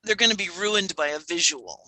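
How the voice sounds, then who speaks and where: Female panelist, very bright and sharp tone, chatty and emphatic, dry close sound. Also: fairly low pitch, lively intonation, brisk, no echo, low-quality recording. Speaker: panelist, audio drama writer. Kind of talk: casual panel discussion.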